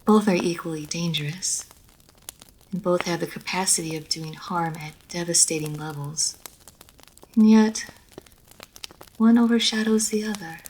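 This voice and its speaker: A woman playing an immortal vampire lady of a manor in an audio roleplay, formal and measured, poised and teasing, speaking straight to the listener. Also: on the low side, a little mellow, a little hiss or hum, close to an everyday voice, rough recording. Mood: grave, protective. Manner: formal, measured, old-fashioned genteel phrasing. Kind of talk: scripted roleplay audio story, addressed to the listener.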